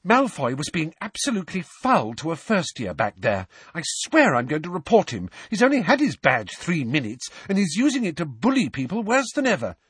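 A man's voice: a little high, wildly swooping pitch, moderate pace, few pauses, fairly bright tone, breathy edge, no room sound, clean studio sound, pitched above the performer's own voice, heavily acted. Man doing an indignant teenage girl.

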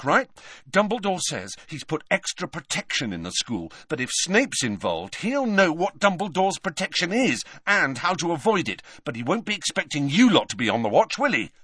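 An impatient, stubborn teenage boy wizard acted by a man reading a storybook, pitched up and pressing hard. The delivery is hurried and curt, with big swings in pitch, recorded close and dry. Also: bright, forceful tone, breathy edge, no echo, full character voice. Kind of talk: dramatised audiobook reading.

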